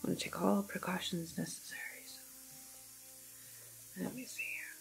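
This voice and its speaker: A woman playing a calm, clinical doctor in a soft, often whispered ASMR roleplay, speaking in a reassuring, halting way. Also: on the low side, vocal fry, faint music behind, poor audio. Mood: clinical, careful. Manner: hesitant, reassuring, slow and halting. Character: calm, clinical female doctor examining a quarantined patient.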